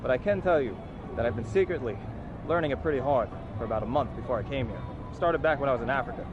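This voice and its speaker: A man's voice: medium pitch, quick pace. A man from the United States narrating a travel vlog as he walks through a city and into a metro station, chatty and upbeat, explaining things as he goes. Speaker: travel vlogger. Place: busy city street and metro station outdoors. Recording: dull lo-fi sound, loud traffic.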